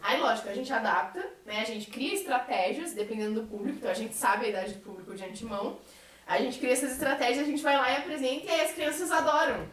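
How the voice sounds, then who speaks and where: A woman's voice: fairly high pitch, fast talker, a bit bright. A woman with a Brazilian accent speaking earnestly and articulately in a reflective group conversation, as a member of a theatre troupe. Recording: echoey room, distant mic.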